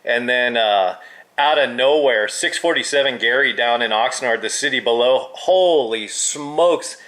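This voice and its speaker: Bright-voiced man chatting over two-way radio. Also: mid-range voice, a little slow. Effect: two-way radio transmission. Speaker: amateur radio operator. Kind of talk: casual radio chat between hobbyists. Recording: crackly two-way radio audio.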